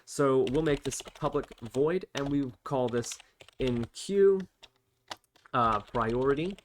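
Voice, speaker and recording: A man with a medium-pitched voice presents a screen tutorial, explaining things step by step. Keyboard typing and clicks sit behind him, along with a faint background noise. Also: takes it slowly. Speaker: tutorial host. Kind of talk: explanatory programming tutorial, talking while typing. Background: computer keyboard typing and clicks.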